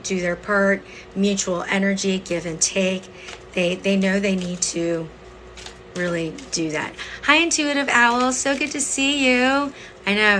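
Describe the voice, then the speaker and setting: A woman with a slightly hoarse voice giving a casual live tarot reading, chatting to viewers and checking in as she explains. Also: medium pitch, bright, forceful tone, slight background noise, low-quality recording. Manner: conversational, explanatory, frequent "okay?" and "right?" check-ins. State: voice partly lost, hoarse. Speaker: tarot reader hosting a livestream.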